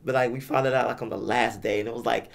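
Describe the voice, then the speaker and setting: Hoarse, gravelly young male podcast host. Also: medium pitch, fairly even intonation, quick pace. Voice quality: raspy. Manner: laid-back, self-deprecating banter. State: hoarse, lost voice. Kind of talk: casual podcast chat.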